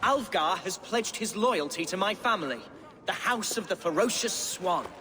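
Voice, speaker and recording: An excitable, self-important young Anglo-Saxon noble for a video game. His voice sits very high and leaps about in pitch. He speaks in florid, theatrical flourishes. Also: moderate pace, in a large hall. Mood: pompous, cheerful.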